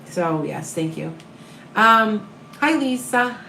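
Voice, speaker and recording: A woman with a Midwestern accent talks to her live viewers in a calm, comforting way. The room around her is echoey, with a faint hum behind her voice. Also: mid-range voice, slow pace, vocal fry. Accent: Upper Midwest American. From American Midwest. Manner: calm, soothing, reassuring. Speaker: tarot reader and medium. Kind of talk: live-stream reading viewer questions.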